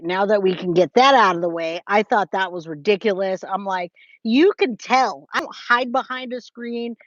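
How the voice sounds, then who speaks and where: A woman's voice: medium pitch, dry recording. A woman in her forties with an American accent and a mid-range voice talks off the cuff to livestream viewers. She is informal and emphatic, circling back to repeat her points. The sound is close and dry but a little dull. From California, United States. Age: 40s. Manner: informal, addresses viewers as guys, babe, my love. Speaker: true crime livestream host. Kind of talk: casual livestream chat, replying to viewers' comments.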